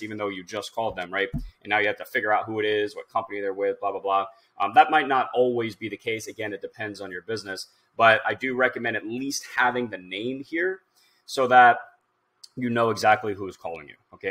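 Man, thirties, lowish pitch, bright punchy tone, casual tutorial presenter, informal, thinking out loud. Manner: informal, instructional, thinking aloud as he goes. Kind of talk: casual screen-share software tutorial.

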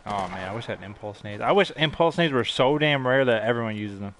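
Hoarse young man streaming games. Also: mid-range voice, animated, fast, a bit bright, a little hiss or hum. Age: young adult. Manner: hype-driven, slangy, thanking donors between plays. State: hoarse, losing his voice. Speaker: game streamer. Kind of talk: live gameplay commentary with chat shout-outs. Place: indoor gaming room.

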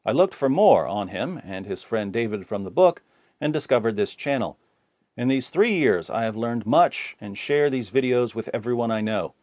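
A man's voice: medium pitch, a little mellow, dry recording. Man reading comments in a dry voice. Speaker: reader of viewer comments.

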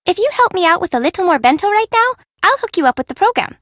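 A woman's voice: very high voice, sing-song, fast, a bit bright, no echo, studio quality, full character voice. An actress voicing a flippant, sassy young fashion-minded city girl in a video game, with a teasing, slangy, blunt way of talking. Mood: enthusiastic, breezy. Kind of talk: video game character dialogue.

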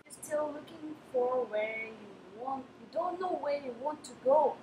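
A woman's voice: sits high, measured pace, a crowd in the background. A woman in her twenties with a Russian accent giving a testimonial on camera. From Tatarstan, Russia. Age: 20s. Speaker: summer work participant, lifeguard. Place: outdoors by swimming pool. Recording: echoey outdoor pool, clear background noise.

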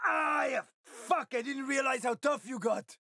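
A man's voice: very high-pitched, measured pace, vocal fry, bright, forceful tone, no echo, full character voice. An actor playing a world-weary, streetwise male survivor in a video game, with a gritty, sardonic, foul-mouthed manner and dramatic delivery. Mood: agitated, angry.

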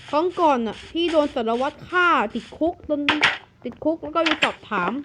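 A woman narrating a game cartoon in a playful way, switching quickly between the characters' lines. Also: sits high, expressive, background noise.

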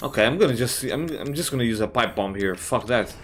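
A man, slightly raspy from just waking up, giving casual let's-play commentary on a horror game, swearing often and thinking out loud. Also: mid-range voice, expressive, a little fast, slight background noise. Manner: swears often, thinks aloud, reacts with surprise. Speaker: gamer playing a horror game.

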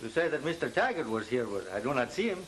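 An actor playing a sly, jovial Mexican messenger in an old western film, with a Mexican accent and effusive, courteous manners. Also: male voice, medium pitch, sing-song, quick pace, lightly acted, rough recording. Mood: jovial, polite. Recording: hissy old film soundtrack.

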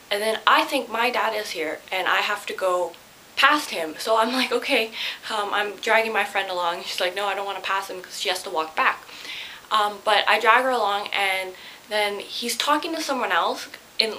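Bright-voiced young woman telling a story, background hiss. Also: medium pitch, low-quality recording. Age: young adult. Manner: animated, flustered, emphatic. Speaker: vlogger. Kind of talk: casual storytelling to camera. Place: small bedroom, indoors. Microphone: camera a short distance away. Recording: hissy home camera recording.

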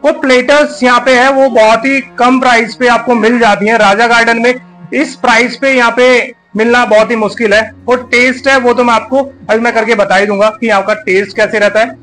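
Male food vlogger, high forceful voice, enthusiastic and repetitive walk-through commentary, music underneath. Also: fairly even intonation, fairly quick, poor audio. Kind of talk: casual on-location restaurant tour commentary. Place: inside a busy restaurant and its kitchen. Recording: music under location speech.

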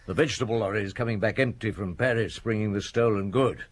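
An actor playing a shrewd, methodical police chief inspector in an old radio drama, with deliberate, probing delivery and dramatic pauses. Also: male voice, on the low side, breathy edge. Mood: probing, assured. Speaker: detective.

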